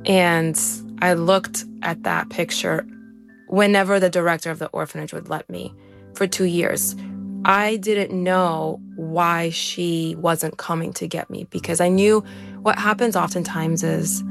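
A woman's voice: fairly low pitch, vocal fry. A young woman from Ukraine, an interview guest, earnestly telling her life story in a conversational way. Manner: earnest, conversational, with frequent restarts. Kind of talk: candid personal storytelling.

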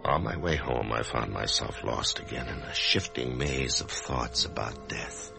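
An actor playing an anxious, death-haunted office man in a radio drama, speaking in a halting, emotional way. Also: rumbling low, gravelly, over loud music, exaggerated voice. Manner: halting, emotional, swinging from brooding to agitated. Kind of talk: old-time radio drama acting, first-person narration and dialogue.

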